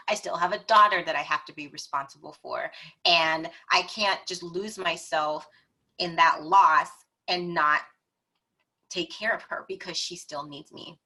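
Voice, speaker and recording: Bright-voiced woman telling her story. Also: lowish voice, rough recording. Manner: expressive, chatty, dramatic retellings of her own reactions. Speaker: podcast guest. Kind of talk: casual personal storytelling.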